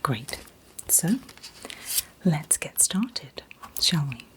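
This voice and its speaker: A woman in a gentle, soothing ASMR roleplay as an optician, whispering breathily and reassuringly without hurry. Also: low-pitched, sing-song, drawn-out words. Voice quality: breathy, mostly unvoiced whisper. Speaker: ASMR performer playing an optician.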